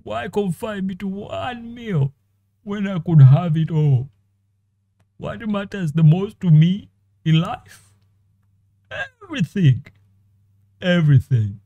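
Man, very dark mellow tone, slow theatrical monologue, dry close mic. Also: high-pitched, sing-song, no echo, dull audio. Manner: slow, theatrical delivery with long pauses. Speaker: animator and voice actor. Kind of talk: dramatic monologue in five voice takes.

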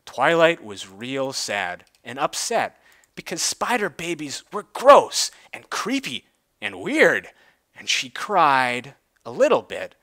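Guy doing a mock dramatic story reading, slow and really animated, kinda theatrical. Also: mid-range voice, dry recording, studio quality. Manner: theatrical, mock-serious delivery of clumsy prose. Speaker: reader.

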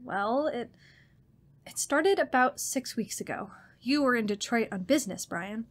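A woman acts a frightened young woman in a radio play, insistent and haunted. Her voice is on the high side. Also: expressive, unhurried. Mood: haunted, fearful. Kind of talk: radio drama acting.

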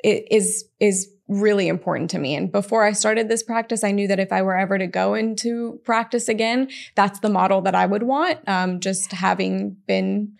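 A woman in her thirties, originally from Israel, chats eagerly about her work in a small studio. Her voice has a gravelly, creaky edge and sits at an average pitch. She restarts her sentences often and fills gaps with "um". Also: normal speed, hardly any pauses. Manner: warm, enthusiastic, many restarts and fillers. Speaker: chiropractor, guest. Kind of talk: casual interview. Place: small studio, seated at a desk. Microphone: desk mic on an arm.

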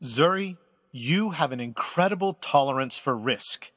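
An actor playing a wise, secretive old space admiral in an audio drama, speaking as a measured, formal, earnest mentor. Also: male voice, mid-range voice, lively intonation, a bit bright, dry recording, studio quality.

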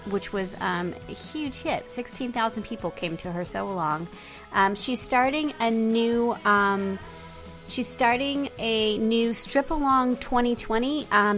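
A woman hosting an interview podcast, relaxed and casual, with many pauses and short interjections. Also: fairly high pitch. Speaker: podcast host.

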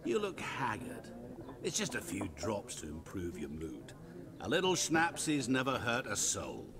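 A man's voice: lowish voice, wildly swooping pitch, unhurried, exaggerated voice. An actor playing a chatty, ingratiating travelling merchant in a video game, florid and insinuating in his delivery. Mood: coaxing. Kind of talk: theatrical video game dialogue. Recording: busy tavern chatter behind the voice.

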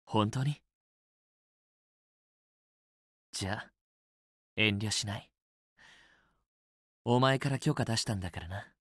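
A man's voice: on the low side, normal speed, a bit bright, slightly rough, dry recording, clean studio sound. A man playing a possessive, teasing young student boyfriend in a romance game, with an intimate, assertive, coaxing delivery. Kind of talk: Japanese otome game voice acting, intimate romantic dialogue.

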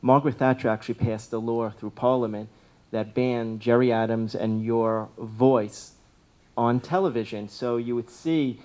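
A man's voice: mid-range voice, fairly even intonation, normal speed, mellow. A man in his thirties, hosting an on-stage interview and asking long, rambling questions into a handheld mic. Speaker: interviewer host. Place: large room with city windows, live audience.

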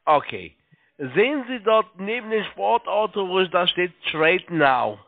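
A man working as a phone agent, giving halting, repetitive step-by-step instructions over a narrow phone line. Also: high-pitched, sing-song, creaky.

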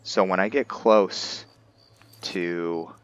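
A man's voice: deep voice, fairly even intonation, drawn-out words, smooth, lo-fi sound. A man giving casual roleplay commentary on a video game, musing and thinking aloud as he plays. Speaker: gaming video host and player. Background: game music.

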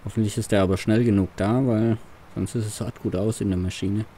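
A man grumbles and mutters his way through a farming roleplay. His voice is low and mellow, and a faint hiss runs under it. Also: fairly even intonation, brisk. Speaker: farmer roleplayer, let's player. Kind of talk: roleplay commentary.

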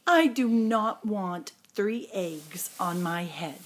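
Woman voicing an exasperated storybook elephant, swooping pitch. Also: expressive, slow pace. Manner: exclaiming, incredulous questions. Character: distressed, incredulous, exasperated male cartoon elephant from a picture book.

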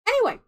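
A woman streamer with a light twang, ranting in exasperated gameplay commentary with loud outbursts and laughter. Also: very high voice.

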